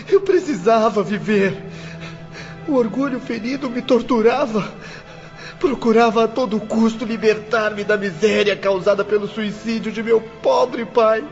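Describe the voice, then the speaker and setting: A man's voice: high voice, highs cut off. A man playing a bitter young orphaned prisoner in a melodramatic radio play, lamenting tearfully. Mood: bitter regret. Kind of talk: melodramatic radio drama monologue.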